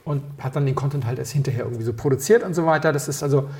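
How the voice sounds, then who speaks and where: Fast-talking man on a podcast. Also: mid-range voice, creaky, a little mellow. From Berlin. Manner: rambling, anecdotal, quick asides and self-corrections. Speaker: podcast co-host and wine taster. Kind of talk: casual podcast chat.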